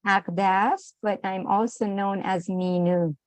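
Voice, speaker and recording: Woman, slow, shy, hesitant, dry sound. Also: medium pitch, steady tone, dry recording. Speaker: community member speaking on a group call.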